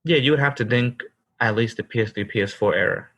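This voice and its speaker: A man's voice: mid-range voice, fast talker, fairly bright tone. A man in his thirties co-hosting a casual podcast, emphatic and calling his co-host bro. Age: 30s. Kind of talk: casual chat.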